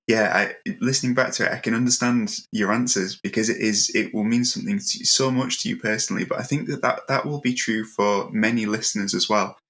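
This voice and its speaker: Gravelly male interviewer, slightly low. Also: fairly bright tone. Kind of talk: conversational interview.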